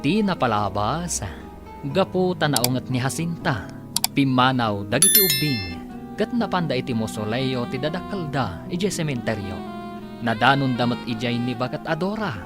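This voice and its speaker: A man's voice: medium pitch, lively intonation, normal speed. A man with an Ilocano accent narrating and announcing a radio drama in a dramatic scripted style. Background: music.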